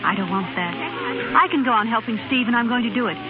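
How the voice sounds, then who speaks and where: An actress plays a young American wife, anxious, proud and quick to flare up. Her voice sits high and she speaks with earnest, emphatic feeling in the manner of 1930s radio drama. The sound is a muffled old broadcast with music. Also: lightly acted. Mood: anxious, confiding. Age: young adult.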